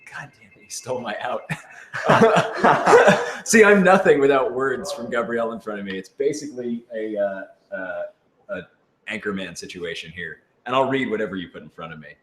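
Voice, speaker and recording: A man's voice: medium pitch, moderate pace, a bit bright. A man who works as a voice actor, chatting casually and playfully on a livestream with lots of exclamations. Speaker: voice actor answering fan questions. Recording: roomy, faint background noise.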